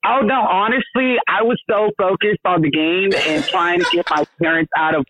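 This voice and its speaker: A man's voice: sits high, steady tone, normal speed, sharp tone, dry recording, poor audio. A man in his thirties, a podcast guest chatting casually in a flamboyant, campy way, calling everyone honey. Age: 30s. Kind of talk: casual interview chat.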